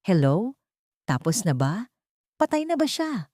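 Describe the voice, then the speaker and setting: A woman's voice: medium pitch, sing-song, unhurried, dark tone, no echo, studio quality. A woman narrator voicing a cruel, scheming husband with urgent, clipped questions in an audiobook. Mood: gloating.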